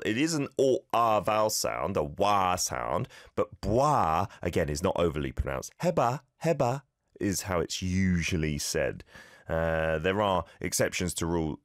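A man hosting a radio show, coaching listeners through a phrase in a language he did not grow up speaking, relaxed and self-deprecating. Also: low voice, no echo, studio quality. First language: not Korean. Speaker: radio show host teaching Korean. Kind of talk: casual radio lesson chat.

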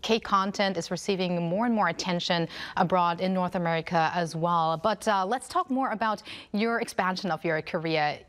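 A woman hosting an interview, attentive, giving soft backchannels between questions. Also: on the low side, moderate pace, few pauses. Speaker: interview host. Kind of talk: interview conversation.

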